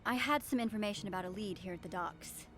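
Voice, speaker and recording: Actress as earnest young lady senator, medium pitch, cartoon drama, clear music behind her. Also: fast, natural voice. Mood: composed. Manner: earnest, assertive. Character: young female senator, earnest, determined, composed. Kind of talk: animated series voice acting.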